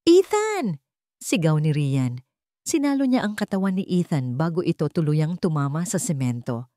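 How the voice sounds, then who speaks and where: A woman's voice: deep voice, expressive, moderate pace, mellow, no room sound, clean studio sound. A woman audiobook narrator reading a dramatic first-person story in a solemn, emotive manner.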